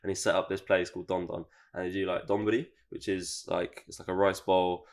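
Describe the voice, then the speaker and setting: A man's voice: deep voice, creaky, a little hiss or hum. A young British man chatting casually as a podcast guest, loose and full of fillers. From England. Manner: relaxed, chatty, fast with lots of fillers.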